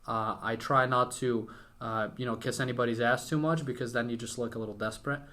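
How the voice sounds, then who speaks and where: Young guy from western ukraine, native ukrainian speaker, bright punchy voice, chatting informally like a podcast guest. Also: medium pitch, fairly even intonation, brisk. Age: young adult. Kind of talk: casual conversational interview.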